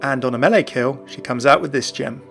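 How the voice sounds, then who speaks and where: Male lore host, mid-pitched voice, faint music bed underneath. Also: fairly quick, gravelly, fairly bright tone. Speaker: gaming lore video host. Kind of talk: scripted lore narration.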